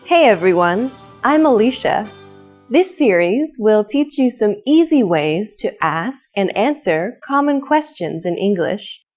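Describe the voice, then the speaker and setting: A woman presenting a scripted English lesson, speaking slowly and clearly. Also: medium pitch, soft background music. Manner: slow, clear, lively teaching delivery. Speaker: English teacher.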